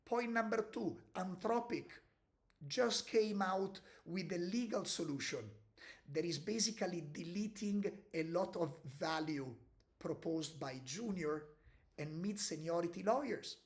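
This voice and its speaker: A man's voice: high voice, a little slow. A man walks through market news in an easy, conversational way. He co-hosts a podcast and often checks in with little tags like "no?" or "okay?" as he explains. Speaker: podcast co-host. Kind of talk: casual market commentary.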